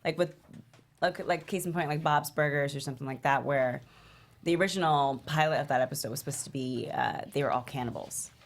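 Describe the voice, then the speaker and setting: A woman's voice: low-pitched, a little fast, a little hiss or hum. A woman giving casual feedback in a panel discussion, thinking aloud as she goes. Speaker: script table read panelist.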